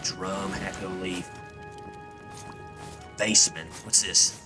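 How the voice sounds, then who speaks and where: A man's voice: low voice, fairly even intonation, takes it slowly. A man giving casual, rambling gameplay commentary as a streamer, jokey and profane. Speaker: video game streamer. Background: game music.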